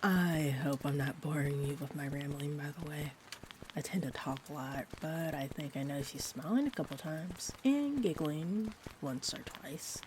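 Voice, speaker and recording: A woman playing a sweet, shy female vampire in an intimate roleplay audio, rambling gently and hesitantly. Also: low-pitched, normal speed, lightly acted, rough recording. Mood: chatty, playful. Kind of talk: roleplay audio, intimate one-sided chat. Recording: steady rain-like ambient noise. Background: rain ambience.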